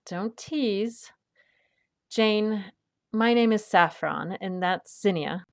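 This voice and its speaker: A woman playing a patient, wry adventuress and peacemaker in an audio drama, with a gentle, reassuring manner. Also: medium pitch, slow pace, gravelly. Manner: gentle, reassuring, dryly witty.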